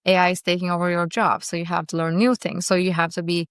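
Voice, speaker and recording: A young woman talks in a smooth, resonant voice that sits slightly low. She gives earnest, optimistic commentary, speaking in short phrases broken by pauses. The recording is close and dry. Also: level tone, no room sound. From Turkey. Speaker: vlogger reacting to a podcast. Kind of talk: casual video commentary.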